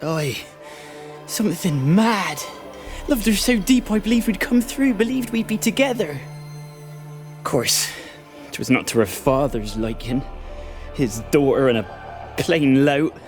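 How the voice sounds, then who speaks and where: An actor playing an anguished, guilt-ridden young village fisherman in a video game, halting and emotional, speaking in rustic dialect. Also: a little high, expressive, soft background music. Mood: sorrowful, confessing. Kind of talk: video game dialogue acting.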